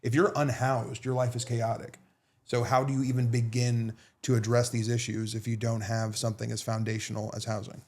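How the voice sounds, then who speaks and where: A man's voice: medium pitch, little pitch movement, darkish tone. A man co-hosting a podcast, explaining his view in a casual, filler-heavy way. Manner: fast, casual, lots of fillers. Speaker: podcast co-host.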